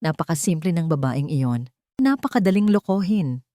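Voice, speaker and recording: A woman's voice: low voice, lively intonation, moderate pace, dark tone, dry recording, clean studio sound. A woman voicing a sweet-faced, ambitious, scheming fiancée in an audiobook drama. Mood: haughty, ambitious. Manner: sugary, evasive, secretly haughty.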